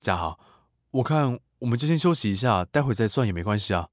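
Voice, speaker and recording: A man's voice: medium pitch, expressive, fast talker, no room sound, clean studio sound, close to an everyday voice. An actor playing a young male tutor who narrates his diary of spying on a family, with a judgmental tone. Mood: friendly. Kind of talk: radio drama acting.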